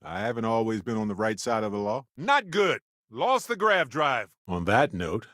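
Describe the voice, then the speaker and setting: A man's voice: medium pitch, big pitch swings, moderate pace, a bit bright, no room sound, studio quality. An actor playing a genial, witty male space explorer and scientist in a video game, talkative and wry with a theatrical flair. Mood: reflective, sincere, then urgent, then teasing, conversational. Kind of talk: video game character voice lines.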